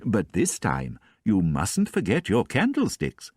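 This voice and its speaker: A man doing a gentle, kindly old priest. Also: on the low side, huge pitch swings, slightly rough, no room sound, clean studio sound, exaggerated voice. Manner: soft, warm, unhurried.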